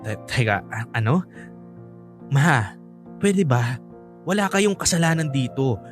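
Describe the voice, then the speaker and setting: Bitter, insistent young son. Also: male voice, medium pitch, lively intonation, slow, soft background music. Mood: bitter, angry. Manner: heated, insistent, emphatic. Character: bitter, resentful young adult son, protective of mother. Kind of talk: radio drama acting.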